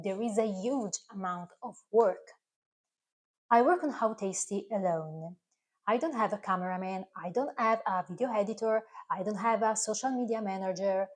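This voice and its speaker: An Italian woman addresses her viewers directly in English, her second language. She is earnest and careful, with a few hesitations and a mid-range voice as she explains her work. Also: mid-range voice. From Italy. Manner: earnest, careful, slightly halting non-native phrasing. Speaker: food channel creator. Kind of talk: speaking directly to camera.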